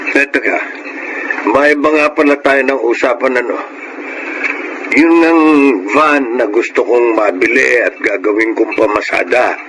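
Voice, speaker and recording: A man's voice: mid-range voice, unhurried, pauses often, noisy background, close to an everyday voice. A man playing a wheedling, money-minded older father in a Filipino radio drama, speaking with a Filipino accent. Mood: wheedling, genial.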